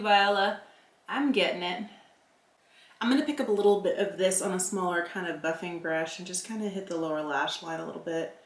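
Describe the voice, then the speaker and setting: A woman's voice: on the low side, fairly bright tone, roomy sound. A woman in her forties chatting casually through a makeup tutorial, narrating each step with self-deprecating asides. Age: 40s. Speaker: beauty vlogger.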